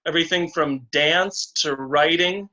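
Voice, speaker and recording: A man giving a slow, deliberate, encouraging astrology reading on a video call. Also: a little high, sharp tone. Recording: dull lo-fi video call audio.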